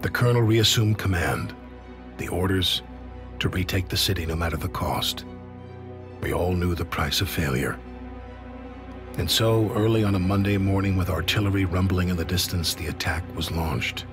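A man's voice: low voice, moderate pace, over music, natural voice. An actor playing a grave, weary soldier, narrating his war in a scripted video game cutscene. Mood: grave, measured.

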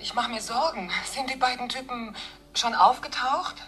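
A woman's voice: a little high, moderate pace, pushed and bright, faint music behind. An actress playing an anxious, helpful and polite female front-desk clerk in a radio play. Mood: worried. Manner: polite, concerned.

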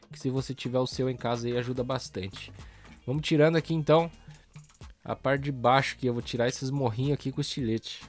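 Hoarse, congested Brazilian man vlogging. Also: medium pitch. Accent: Brazilian Portuguese. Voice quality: nasal. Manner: relaxed slangy chatter, talks while working. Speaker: toy truck customizing YouTuber. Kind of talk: casual hands-on tutorial narration.

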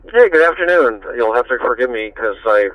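A man's voice: mid-range voice, lively intonation, a little fast, fairly bright tone, dry recording, rough recording. A man chatting casually over a two-way digital radio, friendly and rambling as an amateur operator. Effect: two-way digital radio transmission.

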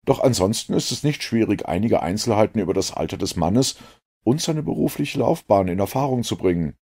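A man voicing an earnest, sceptical gentleman companion in an audiobook, speaking with emphatic, forceful delivery. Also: fairly low pitch, lively intonation, a little fast, husky, pitched above the performer's own voice. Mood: sceptical, surprised. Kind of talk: audiobook character reading.

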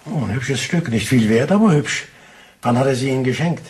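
An actor playing a shrewd, genial detective in a German radio crime drama, speaking in a theatrical, inquisitive and courteous way. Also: male voice, mid-range voice, lively intonation, fairly quick, a little mellow, slight background noise, natural voice. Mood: curious, amused. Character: shrewd, genial male police detective, quietly triumphant.

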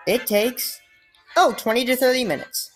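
A woman's voice: fairly high pitch, moderate pace, faint music behind. A woman giving casual, sarcastic commentary over a game, reading its dialogue aloud. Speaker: gameplay commentator. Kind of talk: casual let's play commentary.

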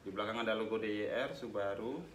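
A man's voice: fairly low pitch, steady tone, fairly quick. A young man hosting a casual helmet review vlog, chatting to his viewers as he takes the helmet apart. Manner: chatty, addresses viewers as guys. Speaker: motovlog host reviewing a helmet. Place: small room with tiled wall, indoors. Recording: echoey room, noisy background, mic at a distance.

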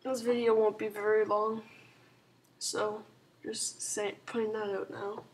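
A woman with a sore throat and a hoarse, sick voice, rambling softly through casual strategy game commentary with lots of ums and likes. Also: mid-range voice, level tone, unhurried. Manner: rambling, full of "like" and "um", soft-spoken. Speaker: gaming channel host.

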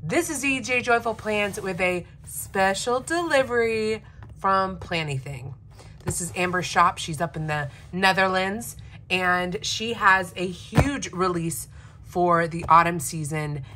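Woman, slightly raspy, bright tone, lowish pitch, chatty unboxing, faint hiss behind. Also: a little slow. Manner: enthusiastic, chatty, frequent throat clearing. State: raspy from recovering illness. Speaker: planner vlogger. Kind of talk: casual unboxing chat. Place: home desk.

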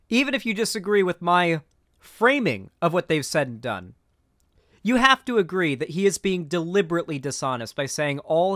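A man's voice: on the high side, a bit bright, dry recording. A young man giving casual, opinionated reaction commentary as a streamer, speaking into a close mic indoors. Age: young adult.

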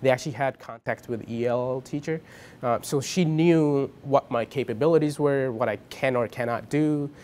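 A man's voice: mid-range voice, moderate pace, darkish tone. A young man from India whose first language is Punjabi, answering interview questions in a casual, hesitant way with many ums and restarts. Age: young adult. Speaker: interviewee, college student. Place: dim indoor room with a lamp.

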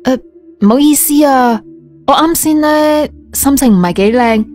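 A woman's voice: high-pitched, normal speed, a little mellow, soft background music, lightly acted. A woman playing a stern, easily flustered young female boss in an audio story, with a Hong Kong Cantonese accent. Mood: apologetic, soft.

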